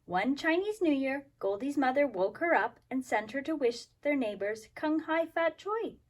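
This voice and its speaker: A woman's voice: sits high, lively intonation, normal speed. A woman talking and reading aloud expressively to young children as a kindergarten teacher, explaining things simply. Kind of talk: children's story time, expressive read-aloud.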